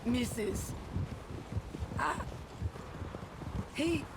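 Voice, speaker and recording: Halting, distraught frontier widow. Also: female voice, on the high side, lively intonation, noisy background. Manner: halting, broken phrases. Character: shaken, grieving young American frontier widow. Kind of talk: cinematic game acting.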